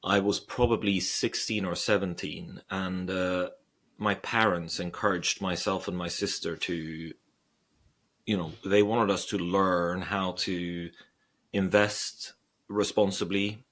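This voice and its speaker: Swedish guy in his 40s, low voice, thinks out loud, lots of restarts and "you know"s. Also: fairly even intonation, slow pace. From Sweden. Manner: thoughtful, hesitant, many restarts. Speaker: investor guest. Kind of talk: interview.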